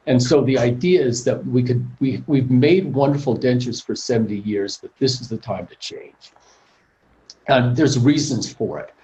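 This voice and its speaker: A man in his sixties, a prosthodontist, giving a practical, plainspoken webinar talk in a measured way. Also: mid-range voice, warm tone. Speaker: prosthodontist presenter. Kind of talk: informal professional presentation. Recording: muffled lo-fi call audio.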